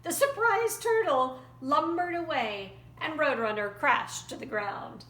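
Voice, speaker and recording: A woman tells a folktale with dramatic expression and deliberate phrasing. Her voice is bright and rather high, recorded in an echoey room with faint noise. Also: animated. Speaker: storyteller. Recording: roomy echo, faint background noise.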